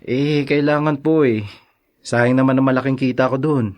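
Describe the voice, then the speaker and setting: A man playing a respectful, soft-hearted son in his late twenties in a Filipino radio drama. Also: mid-range voice, steady tone, moderate pace, close to an everyday voice. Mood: wistful, hesitant. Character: respectful, lovelorn 28-year-old son home from abroad.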